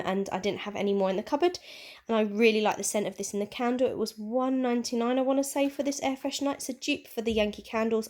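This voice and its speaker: Chatty bunged-up woman vlogger. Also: on the high side. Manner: chatty and rambling, with laughs. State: bunged up with a cold. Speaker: craft vlogger and teacher. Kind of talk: casual haul vlog chat.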